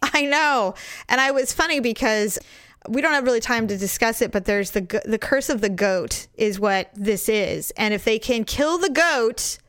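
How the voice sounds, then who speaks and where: A middle-aged woman, slightly hoarse, telling a story in casual, animated chat and laughing often. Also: fairly high pitch, normal speed, no room sound, studio quality. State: hoarse, recovering voice.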